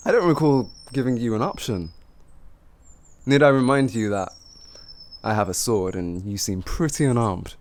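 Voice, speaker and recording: A man playing a cocky, flirtatious highwayman who teases and gloats in an improvised fantasy roleplay spoken to the listener. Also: lively intonation, normal speed, lightly acted. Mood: smug, teasing. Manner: teasing, gloating, chuckling, improvised hesitations.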